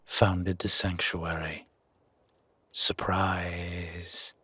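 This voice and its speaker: Gruff werewolf founder, played by a male actor: heavily rasping low voice, slow guarded delivery, dry and protective, for roleplay audio drama. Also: little pitch movement, bright, forceful tone, no echo, exaggerated voice. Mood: calm, measured. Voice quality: husky, gravelly rasp. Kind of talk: roleplay audio drama, intimate one-sided dialogue.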